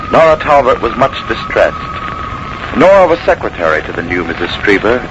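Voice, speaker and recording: A man narrating a 1940s radio drama in a grave, formal, dramatic style. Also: on the low side. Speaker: announcer, narrator. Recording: noisy vintage 1940s radio recording.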